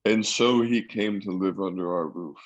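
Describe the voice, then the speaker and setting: Low-pitched male actor as solemn adventure narrator. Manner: measured, literary storytelling. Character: grown man solemnly recalling his boyhood adventure. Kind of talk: scripted radio drama narration. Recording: dull lo-fi audio.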